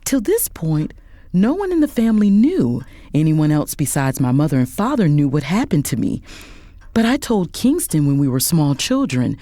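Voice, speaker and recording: A woman playing a hurt adult daughter who narrates her family's rift in a measured first-person audio drama. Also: sits low, sing-song, dark tone, no room sound. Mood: wounded, reflective.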